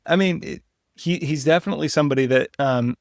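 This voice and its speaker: A man's voice: fairly high pitch, quick pace, no room sound. Opinionated man chatting politics, dry close sound. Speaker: political podcast co-host. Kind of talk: casual political discussion.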